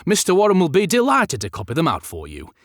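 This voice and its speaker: A man's voice: on the high side, wildly swooping pitch, fast talker, dry recording, clean studio sound, voice pushed up. A man voicing a consummately professional Victorian office manager in an audiobook, formal, composed and servile in his politeness. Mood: deferential.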